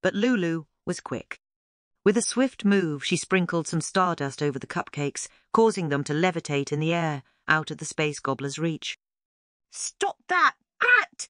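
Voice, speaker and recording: Crisp, dry children's storyteller. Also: medium pitch, normal speed, dry recording. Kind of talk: scripted children's story read-aloud.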